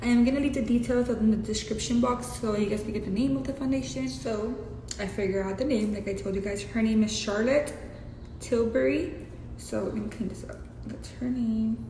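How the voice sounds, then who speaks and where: A woman's voice: a little high, normal speed, dark tone. A young woman with a Mexican accent doing a casual, profane makeup tutorial with chatty asides. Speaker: beauty vlogger. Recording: echoey room with hiss.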